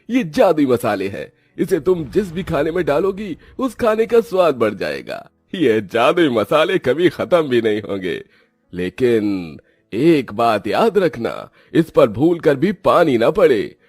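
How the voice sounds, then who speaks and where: A man's voice: mid-range voice, very animated, measured pace, a little mellow, slightly rough, dry recording, soft background music, voice pushed up, exaggerated voice. A man voicing a mysterious magician shopkeeper in a cartoon story, theatrical and portentous. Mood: grand, warning. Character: mysterious adult male magician shopkeeper, bargaining.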